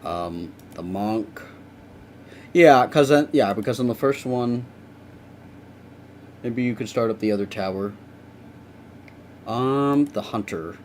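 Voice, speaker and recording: A young man with a lowish voice rambles through a casual live stream, chatting with viewers as he works. He drops the odd curse without fuss. A faint hiss sits under the recording. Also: slow pace, pauses often, a little mellow. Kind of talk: casual live stream chat while drawing.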